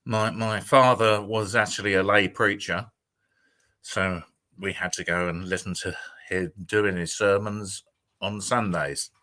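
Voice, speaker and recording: A man's voice: lowish voice, fairly even intonation, a little slow, sharp tone. An older man, a guest on an interview show, speaking hesitantly with dry humour. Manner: hesitant, many ums and restarts, dry humour. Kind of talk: casual interview.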